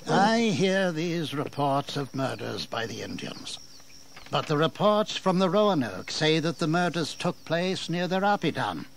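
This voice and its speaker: A high, widely swooping voice carries an indignant colonial governor in a historical audio drama. He is oratorical and authoritative, with bitter sarcasm, over faint background noise. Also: sing-song, vocal fry, exaggerated voice. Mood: grave. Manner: oratorical, emphatic, deliberate.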